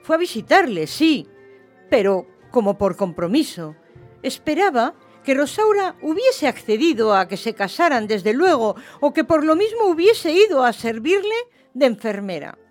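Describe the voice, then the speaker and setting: A woman narrating a literary radio play in an expressive storytelling style. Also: high voice, moderate pace. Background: music.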